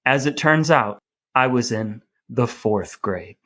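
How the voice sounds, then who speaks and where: A man's voice: mid-range voice, animated, slow, a bit bright. An American man co-hosting a podcast in a wry, mock-formal, wordy way, mixing scripted banter with introductions. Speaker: podcast co-host.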